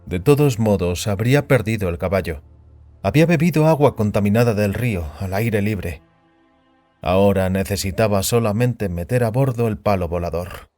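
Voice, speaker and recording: A man's voice: lowish voice, moderate pace, mellow, no room sound. A man reading a science fiction story as a scripted, dramatic audiobook narration. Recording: music under the voice. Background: background music.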